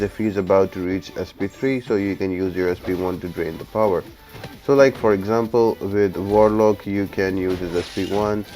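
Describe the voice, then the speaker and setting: Deep-voiced man giving game tips. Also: a little mellow. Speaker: video game guide host. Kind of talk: gameplay tips commentary.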